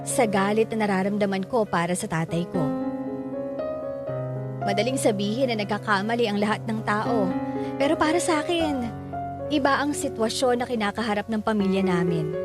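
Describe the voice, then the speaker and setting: Guy playing hurt bitter filipino son narrating a radio drama, highish voice, music behind. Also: natural voice. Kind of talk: dramatic first-person narration.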